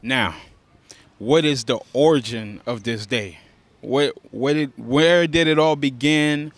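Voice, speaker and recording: A man's voice: medium pitch, slow, no echo. A man interviewing people on the street, casually repeating "okay" and restarting his questions.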